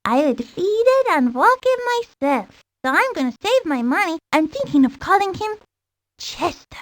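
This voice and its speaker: A woman's voice: extremely high pitch, big pitch swings, normal speed, dry recording. A woman voicing a girly, earnest seven-year-old girl, chatting away as a video game character. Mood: bubbly, earnest.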